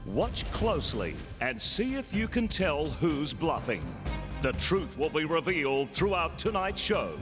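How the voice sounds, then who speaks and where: A man reads a scripted voice-over with a teasing, dramatic tone. His pitch swoops and stays lively. Clear music plays underneath. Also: measured pace. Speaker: voice-over announcer. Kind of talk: scripted narration.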